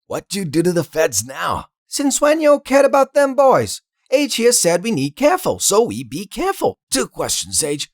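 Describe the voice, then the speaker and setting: A man's voice: high-pitched, wildly swooping pitch, moderate pace, hardly any pauses, dry recording, studio quality, voice pushed up, heavily acted. A man voicing a brash, impatient street criminal with punchy slang, in an audiobook.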